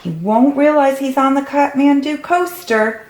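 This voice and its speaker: Lady reading a picture book to little kids, highish voice, roomy echo. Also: unhurried, a little mellow. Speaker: storybook reader. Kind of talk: read-aloud story for young children. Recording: roomy, echoey recording.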